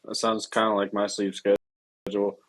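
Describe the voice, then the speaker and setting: A man hosting a casual online voice space, relaxed and joking, with frequent "uh" fillers. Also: lowish voice, moderate pace, no echo. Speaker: podcast host.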